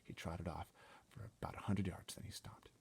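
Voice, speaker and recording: A man's voice: deep voice, steady tone, normal speed, creaky, a little mellow, slightly rough. A man reading a children's story aloud as dramatic narration, theatrical and suspenseful, often dropping to a whisper. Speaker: storybook reader.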